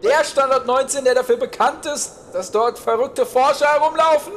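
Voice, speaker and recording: A man's voice: extremely high pitch, normal speed, a bit bright, a little hiss or hum, voice pushed up. A man voicing a weary, sardonic, exasperated colleague in an audio story, with a dry sarcastic delivery. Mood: dry, resigned.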